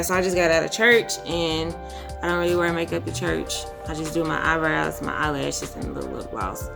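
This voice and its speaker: Hoarse low-voiced woman vlogger. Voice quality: husky. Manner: relaxed, chatty, says y'all and um often. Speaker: beauty vlogger. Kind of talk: casual storytelling chit chat. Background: music.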